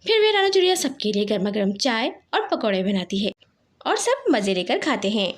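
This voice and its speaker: Female storyteller for scripted children's tales; high voice, very lively intonation. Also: normal speed.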